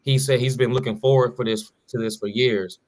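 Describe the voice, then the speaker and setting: A man's voice: medium pitch, steady tone, fairly quick, darkish tone. A man co-hosting a casual sports talk show, talking loosely in slang with lots of fillers and restarts. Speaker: podcast co-host.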